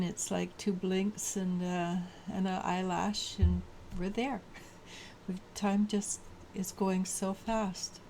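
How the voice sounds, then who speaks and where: Woman tarot reader, low mellow voice, flat delivery, halting. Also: creaky, a little hiss or hum, rough recording. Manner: halting, many restarts and fillers. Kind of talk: daily tarot reading talk.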